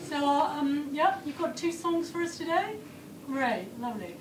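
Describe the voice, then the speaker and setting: A chirpy, breezy audition panelist, put on by a woman greets a hopeful performer with a high, quick cheer. The voice is heard from far off in an echoey hall, with noise around it. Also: expressive. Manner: chirpy, breezy small talk. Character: brisk, upbeat audition panelist. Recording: distant, echoey stage with background noise.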